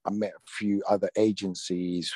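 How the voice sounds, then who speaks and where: A man in his forties with a London accent talks in a low voice, loose and easygoing, with plenty of "you know" as he goes. His first language was Thai, and the sound is dry and close with no room around it. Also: flat delivery, moderate pace, warm tone, no room sound. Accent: London English. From London, England. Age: 40s. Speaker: actor being interviewed. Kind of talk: casual interview talk.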